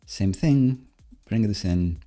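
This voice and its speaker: A man with a low, unhurried voice talks through his code on a casual livestream. He muses to himself haltingly, in a mellow tone, with soft music and typing behind him. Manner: hesitant, halting, self-musing. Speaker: live-coding streamer and host. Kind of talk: casual livestream coding commentary, thinking aloud. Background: soft background music, computer keyboard typing.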